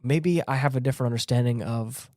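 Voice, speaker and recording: A man's voice: medium pitch, fast talker, a little mellow, no echo. Emphatic man in a dry close recording. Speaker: podcast co-host. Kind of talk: casual opinionated discussion.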